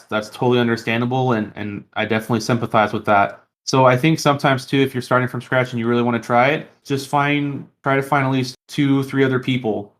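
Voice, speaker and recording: Male podcast host, medium pitch, casual and earnest, leans on repeated phrases. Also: a bit bright.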